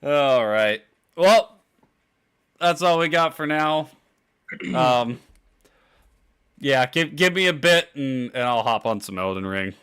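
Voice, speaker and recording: A man's voice: medium pitch, animated, slow, pauses often, fairly bright tone, clean tone. A man co-hosting a podcast in a laid-back casual chat, laughing often and trailing off mid-sentence. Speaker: podcast co-host.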